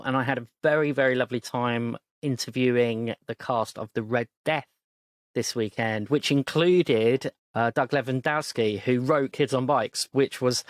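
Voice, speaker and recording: A man's voice: mid-range voice, no echo. A man, narrating as game master and chatting casually with players. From Britain. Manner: rambling, many restarts, laughs easily.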